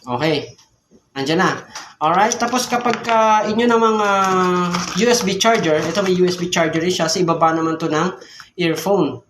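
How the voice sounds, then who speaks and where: A man's voice: high voice, normal speed, a bit bright. A man with a Filipino accent presenting a casual unboxing tutorial as a vlogger, explaining step by step and often checking in with the viewer. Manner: repeats check words like "alright" and "okay", explanatory. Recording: echoey room with background noise and clicks.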